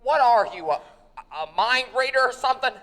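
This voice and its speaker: A flustered, despairing young man in a stage radio drama. He stammers and trips over his words, his voice set high and nervous. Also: lively intonation, a little fast, a bit bright, in a large hall. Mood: bewildered. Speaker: actor in a live radio play. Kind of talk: 1940s radio acting on stage. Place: theater stage with audience.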